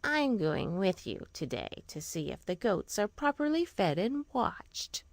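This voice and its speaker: A woman's voice: medium pitch, very animated, measured pace, creaky, no echo. A woman storyteller plays a scheming, suspicious sister from a storybook. The voice is nosy and sits close to an ordinary reading voice, recorded dry. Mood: feigned casualness.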